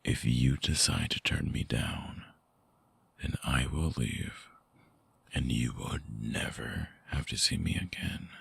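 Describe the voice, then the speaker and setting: A man voicing a lovesick vampire in intimate ASMR roleplay, husky and breathy, often dropping toward a whisper, halting, tender and earnest, close on the mic. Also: very deep voice, a bit bright, no echo, heavily acted. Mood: tender, devoted. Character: lovesick male vampire returning to his lost lover. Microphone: close binaural microphone.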